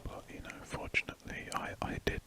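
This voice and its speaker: ASMR whisperer, soft and rambling. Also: brisk. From United Kingdom. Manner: soft rambling whisper with frequent restarts and self-corrections. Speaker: ASMR artist. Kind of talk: whispered ASMR chat while drawing.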